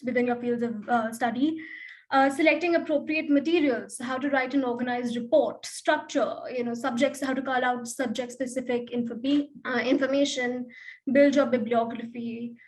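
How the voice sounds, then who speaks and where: A woman's voice: a little high, fairly even intonation, moderate pace, a little mellow, rough recording. A teenage girl on a student panel, articulate and listing points, with frequent uhs. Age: teens. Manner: articulate, listy, many fillers. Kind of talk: answering panel questions.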